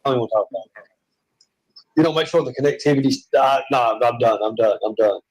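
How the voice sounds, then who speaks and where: A man's voice: mid-range voice, quick pace, a little mellow, low-quality recording. A man with a Southern US accent, chatting and joking in a rambling, self-mocking way from a hospital room.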